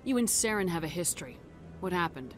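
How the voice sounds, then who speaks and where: An actress playing a no-nonsense military commander in a video game, speaking in a firm, direct, controlled way. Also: female voice, fairly low pitch, expressive, brisk, faint music behind, lightly acted. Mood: businesslike. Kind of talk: dramatic video game dialogue.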